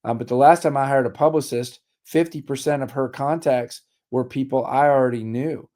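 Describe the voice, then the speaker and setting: Mellow-voiced man, voice a bit worn. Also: mid-range voice, moderate pace. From Richmond, Virginia. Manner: candid, wry, matter-of-fact. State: slightly losing his voice. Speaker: musician guest. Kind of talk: casual interview chat.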